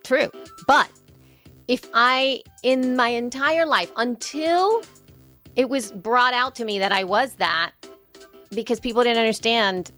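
A podcaster woman from El Salvador holds forth in relaxed conversation. She talks animatedly, acting out little quoted exchanges and restarting her sentences as she thinks. Faint music sits under her voice. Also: a little high, takes it slowly. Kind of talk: casual conversation.

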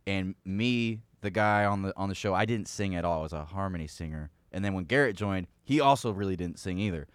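A man's voice: deep voice, brisk, hardly any pauses, smooth, no room sound. A man in a band, explaining its history in a casual chat. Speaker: band member.

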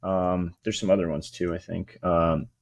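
A man's voice: low-pitched, little pitch movement, normal speed, dry recording, studio quality. A man co-hosting a sports podcast, talking in a rambling, informal and opinionated way. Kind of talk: casual sports chat.

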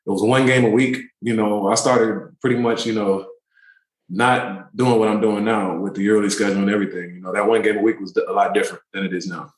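A man in his thirties, a pro basketball player, telling relaxed, rambling stories in a casual interview. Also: on the low side, fairly quick, echoey room, rough recording. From born in Turkey, raised in the DC area. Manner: relaxed, rambling, many fillers.

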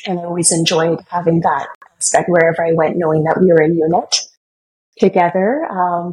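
A woman's voice: lowish voice, darkish tone, slight background noise, rough recording. A woman podcast guest, born in Germany and raised in Canada, who answers in a friendly, chatty way and laughs easily. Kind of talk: conversational interview answers.

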